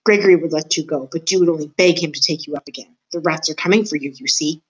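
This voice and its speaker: A woman's voice: deep voice, fast, lo-fi sound, lightly acted. A woman voicing an old male dungeon jailer who talks in a menacing, theatrical way and calls himself by name.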